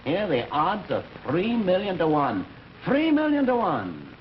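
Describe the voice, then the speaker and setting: An actor with an American accent playing a weary, brooding police detective, terse and frustrated, in old-time radio drama style. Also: male voice, high-pitched, wildly swooping pitch, a little slow, full character voice. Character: weary, brooding male police detective, obsessed with his case. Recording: dull, noisy old radio recording.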